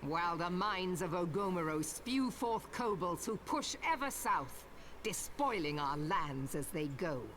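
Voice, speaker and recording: An actress playing a stern, commanding admiral in a video game, delivering grave nautical oratory in archaic English. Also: female voice, fairly low pitch, lively intonation, a bit bright, slight background noise. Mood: grave, warning. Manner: grave, deliberate, declamatory. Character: stern commanding female admiral rallying her pirate crew.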